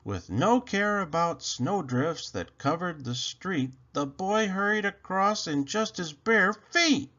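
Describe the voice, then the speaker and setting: A man reading a rhyming children's story aloud in an expressive, theatrical way with drawn-out phrasing. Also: sits high, dull audio.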